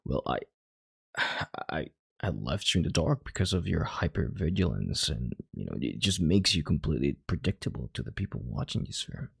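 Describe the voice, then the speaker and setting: Commanding, calculating male CEO played by a man for intimate audio roleplay: rock-bottom pitch, very husky, measured and authoritative. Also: big pitch swings, no room sound, studio quality, full character voice. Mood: stern, reassuring. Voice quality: very deep, gravelly, husky voice. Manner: authoritative, measured, halting, sighing and hushing.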